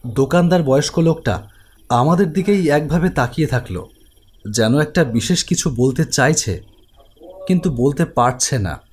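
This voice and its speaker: A young man voicing a protective elder brother who also narrates the animated ghost story, brisk, teasing and reassuring. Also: on the high side, close to an everyday voice. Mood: narrating.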